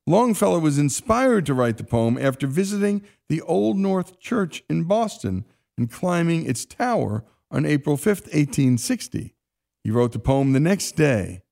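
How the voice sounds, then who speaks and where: A man's voice: medium pitch, vocal fry, warm tone. A man hosting a radio show, reading a scripted introduction. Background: faint guitar music.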